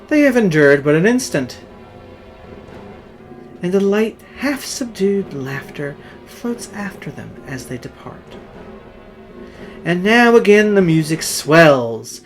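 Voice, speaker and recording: A man narrating a horror story in a solemn, theatrical manner, swelling and hushing his phrases. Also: a little high. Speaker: story reader. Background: music under the voice.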